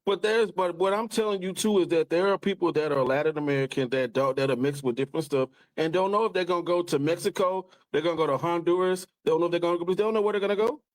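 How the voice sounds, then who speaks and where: Fast-talking man arguing on a panel. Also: sits high, no echo. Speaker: podcast panelist. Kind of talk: casual panel debate.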